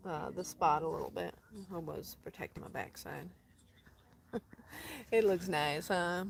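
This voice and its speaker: A woman from Colorado chatting casually on a live stream outdoors in the desert, rambling, laughing often and dropping into soft asides. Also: fairly low pitch. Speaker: van-dwelling traveler streaming live.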